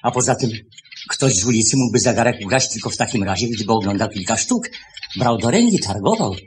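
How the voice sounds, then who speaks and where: A man's voice: fairly low pitch, animated, brisk, reverberant, close to an everyday voice. Man playing a suspicious, stammering, swooping-voiced shopkeeper. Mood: deliberate, pondering. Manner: stammering, repeating words insistently. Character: suspicious, irritable male shopkeeper interrogating his assistant. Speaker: actor. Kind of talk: 1970s Polish radio play acting. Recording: noisy old 1970s radio recording.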